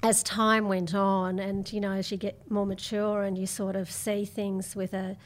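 A woman with an Australian accent talking as an interview guest, reflective and measured as she tells her story. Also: mid-range voice, flat delivery. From Melbourne, Australia. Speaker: podcast guest.

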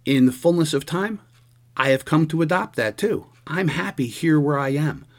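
A man in his sixties hosting a radio show, musing aloud in an earnest, halting way with many restarts. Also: on the high side. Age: 60s. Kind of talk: reflective casual radio monologue.

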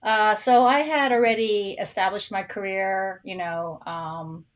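A middle-aged woman speaking as a guest on a podcast interview. She thinks aloud, circling back and restarting sentences as she searches for the right word. Her pitch sits in an ordinary middle range for a woman. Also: fairly even intonation, measured pace. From born in San Francisco, raised in Hong Kong. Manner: reflective, with frequent restarts and fillers. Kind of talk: casual interview talk.

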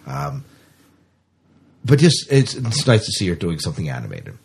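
A middle-aged man with a lowish, slightly husky voice hosts a podcast. He reads out entertainment news in a casual, chatty way. His delivery is informal, with a fan's enthusiasm. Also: huge pitch swings, normal speed. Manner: informal, enthusiastic fan talk. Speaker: podcast host.

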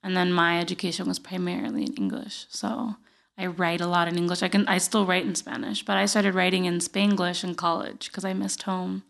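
A woman's voice: fairly low pitch, flat delivery, brisk, gravelly, fairly bright tone. A woman from Puerto Rico, a podcast guest telling casual, joking stories and acting out remembered dialogue. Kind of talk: casual conversational storytelling.

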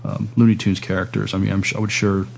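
A man hosting a casual podcast interview, with hesitant, halting phrasing. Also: on the low side, flat delivery, gravelly. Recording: noticeable background noise.

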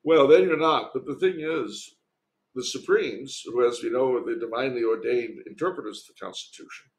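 An American man giving conversational commentary as a podcast guest, with a wry, emphatic manner and a creaky voice. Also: mid-range voice, a little fast. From United States. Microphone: remote internet call.

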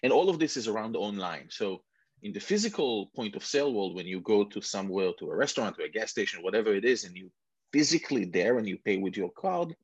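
Man, israeli accent, husky lowish voice, rambling casual talk, dull lo-fi call audio. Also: expressive, fast, no echo. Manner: rambling, self-mocking, many restarts. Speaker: guest entrepreneur. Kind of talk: casual interview chat. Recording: dull muffled lo-fi sound.

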